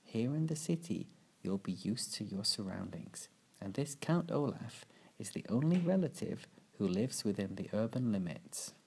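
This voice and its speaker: A busy, stiff middle-aged banker, as a male narrator plays him in a storybook. He sounds formal and matter-of-fact, with a very husky, gravelly and mellow voice. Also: on the low side, big pitch swings, normal speed, exaggerated voice, poor audio. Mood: explaining.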